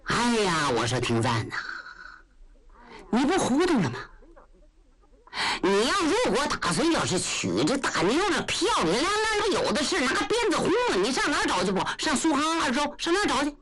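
A woman's voice: high-pitched, wildly swooping pitch, moderate pace, fairly bright tone, husky, voice pushed up, heavily acted. A woman voicing a blunt, muddle-headed old male general who talks back brashly in a traditional storytelling performance. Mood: scolding.

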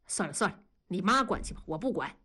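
A woman's voice: fairly low pitch, animated, fast talker, pushed and bright, slightly rough, heavily acted. A woman doing a weary middle-aged father. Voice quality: tired, curt.

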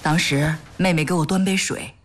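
An actress playing a caring, soft-hearted wife devoted to charity in a sentimental audio drama, speaking earnestly in a slightly husky voice. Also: female voice, deep voice, fairly even intonation, moderate pace. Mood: gentle, wry.

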